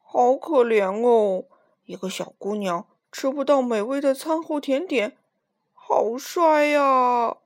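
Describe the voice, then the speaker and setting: A woman voicing a sly old male circus lion, slow and booming in a children's storybook reading. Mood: mournful.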